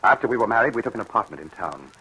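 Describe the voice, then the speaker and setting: Radio thriller lead: anguished husband, lowish husky voice, forceful edge, haunted and halting. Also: male voice, big pitch swings, heavily acted, rough recording. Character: haunted, regretful husband tormented by his jealous wife. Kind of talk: old-time radio thriller acting.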